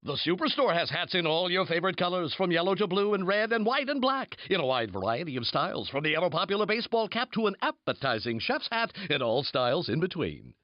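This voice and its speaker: A man's voice: high voice, very animated, quick pace, hardly any pauses, no echo, lo-fi sound. A man playing a zany, over-the-top radio announcer in a game, punchy and theatrical. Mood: salesy. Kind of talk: parody radio announcing, hype commercials and headlines.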